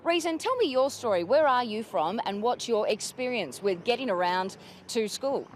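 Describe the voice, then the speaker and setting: A woman's voice: a little high, lively intonation, moderate pace, a little hiss or hum. Polished woman news interviewer. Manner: polished, inquisitive, clear questions. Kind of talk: broadcast interview hosting.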